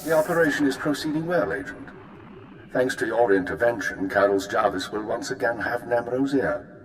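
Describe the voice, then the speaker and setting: An actor playing a composed intelligence handler giving a mission briefing in a video game, formal and clipped. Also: male voice, medium pitch, normal speed, slight background noise, close to an everyday voice, poor audio. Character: cool, precise male intelligence handler.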